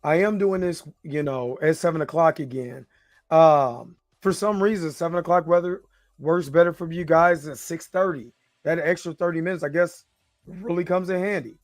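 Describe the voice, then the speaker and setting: A man with a slightly hoarse voice hosting a livestream, talking casually in an informal, rambling, hesitant way. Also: a little high, normal speed, no echo, studio quality. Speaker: host of a livestream reaction show.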